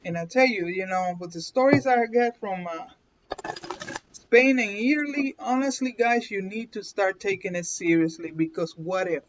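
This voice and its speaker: A woman's voice: mid-range voice, moderate pace, slight background noise. Chatty Puerto Rican woman on a stream. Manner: chatty, keeps checking in with "okay?", laughs often. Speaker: card reader hosting a live stream. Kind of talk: casual livestream chat and reading. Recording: dull lo-fi stream audio.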